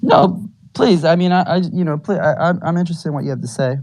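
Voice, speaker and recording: A man in a casual online group chat, talking in a halting way with many "um"s and "you know"s. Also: on the high side, darkish tone. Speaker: participant. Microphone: online audio chat.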